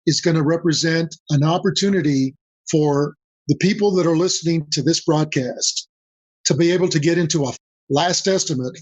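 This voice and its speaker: An older man from Mexico whose first language is Spanish, a guest on a podcast interview, talking in a measured way and repeating key phrases for emphasis. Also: a little high, dry recording. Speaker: guest, senior advisor. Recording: dull dry lo-fi audio.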